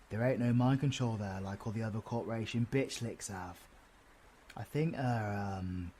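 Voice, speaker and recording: A man's voice: lowish voice, darkish tone, slight background noise, natural voice. Man acting a cocky British supervillain. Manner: slangy, swaggering, teasing. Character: cocky, sardonic male supervillain, foul-mouthed but affectionate. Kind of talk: audio roleplay drama acting.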